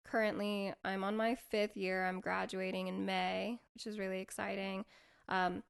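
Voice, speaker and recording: Twenties female co-host, smooth clear voice, relaxed chatty delivery. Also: medium pitch, normal speed. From Colorado, USA. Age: 20s. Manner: relaxed, chatty, laughs between words. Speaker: podcast co-host. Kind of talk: casual chat.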